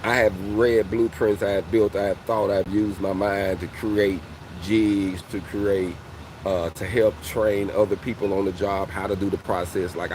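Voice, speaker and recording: A man in his forties talking to his viewers as a welding coach, in a slightly low voice. He speaks in a loose, conversational way and keeps calling his audience "fam". Faint street noise can be heard behind him. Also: darkish tone, rough recording. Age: 40s. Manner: conversational, repeats "fam" and "you know what I mean". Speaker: welding coach and channel host. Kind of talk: casual motivational talk to viewers.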